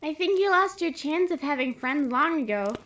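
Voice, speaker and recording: Very high, bright monster kid. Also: female voice, normal speed, dull audio. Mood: indignant. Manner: cheeky, excitable. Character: plucky, loyal young monster kid. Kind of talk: audio drama acting.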